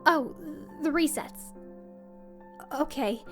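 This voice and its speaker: A woman's voice: high-pitched, takes it slowly, a bit bright, faint music behind. A woman voicing a flustered, anxious character in a comic dub, stammering nervously. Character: flustered, anxious, stammering, high-voiced worrier. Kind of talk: fan comic dub voice acting.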